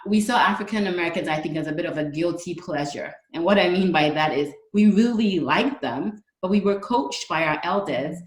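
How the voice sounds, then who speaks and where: Roomy-sounding, low-voiced woman panelist. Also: expressive, brisk, hardly any pauses. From Ghana. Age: 30s. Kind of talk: considered panel remarks.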